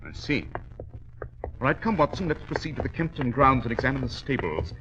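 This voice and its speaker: A keen, commanding British gentleman detective played by a male radio actor. His speech is formal and precise, with lively swoops of pitch. The sound is a muffled 1940s radio recording. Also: brisk, lightly acted. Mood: keen, assured. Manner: clipped, assured, precise, formal. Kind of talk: 1940s radio drama acting. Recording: dull vintage radio recording.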